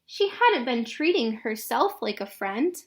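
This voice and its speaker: High-pitched woman reading a children's story. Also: very animated, measured pace. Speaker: read-aloud narrator. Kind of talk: expressive children's picture book read-aloud.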